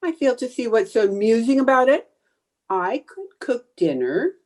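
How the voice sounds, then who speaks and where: Woman doing a defensive sitcom housewife, kinda high voice, huffy n flustered, old radio comedy style. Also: sing-song, normal speed, a little mellow. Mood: indignant. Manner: indignant, flustered theatrical delivery. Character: proud, defensive housewife bluffing about her cooking.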